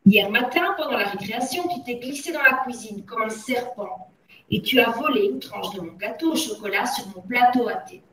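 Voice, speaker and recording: A woman's voice: on the high side, animated, normal speed, few pauses, lightly acted. A woman reading a story aloud as a tyrannical headmistress, barking orders and insults in a bullying manner. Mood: furious, accusing. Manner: barks commands and theatrical insults. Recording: roomy echo, distant from mic.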